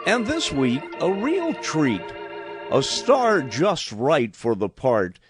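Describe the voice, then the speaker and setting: Radio host guy, higher voice, super swoopy delivery, very slow, bit of music behind. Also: wildly swooping pitch. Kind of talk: scripted radio presenter introduction.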